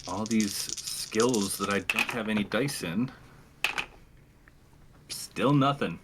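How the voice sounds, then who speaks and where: A man's voice: on the low side, slow pace, background noise. A man chatting casually and jokingly as a player in a tabletop roleplaying game.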